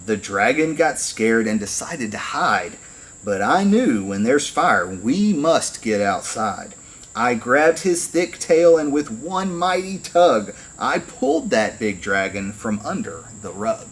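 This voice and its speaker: A man reading a rhyming picture book aloud to children in an expressive, deliberate storytelling manner. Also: medium pitch, rough recording. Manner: animated storytelling, deliberate phrasing.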